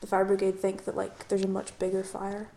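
Young woman from the western isles, gravelly and flat, mellow tone, faint hiss. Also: mid-range voice, brisk. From Western Isles, Scotland. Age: young adult. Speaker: university student. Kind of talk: casual chat.

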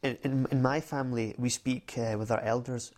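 A man's voice: medium pitch, fairly even intonation, normal speed, a little mellow. A man being interviewed about his newspaper work, talking in a hesitant way with many fillers and restarts. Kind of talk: research interview.

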